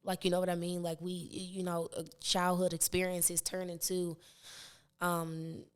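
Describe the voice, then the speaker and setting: A young woman with a raspy voice, a touch lower than most women, talks in a loose, rambling way. She fills her sentences with "like" and restarts as she goes. It sounds like a relaxed podcast interview. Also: measured pace. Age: 20s. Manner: rambling, many fillers and restarts. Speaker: rapper and podcast guest. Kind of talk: casual interview chat.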